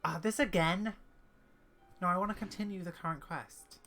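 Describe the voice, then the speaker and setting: A woman's voice: on the low side, normal speed, a bit bright. A woman game streamer giving casual, playful play-along commentary, reacting aloud as she plays.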